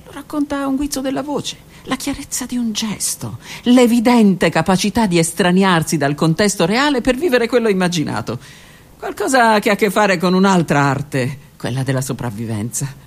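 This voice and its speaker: A woman's voice: mid-range voice, very animated, moderate pace. A woman narrating her own literary text for a radio theatre piece, intimate and often dropping to a whisper. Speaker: author and narrator.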